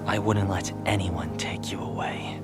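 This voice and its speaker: Guy acting a quiet death god, lowish voice, terse n guarded, dry but fond, audio drama. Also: fairly even intonation, unhurried, whispered, music in the background. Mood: quietly tender. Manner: terse, understated.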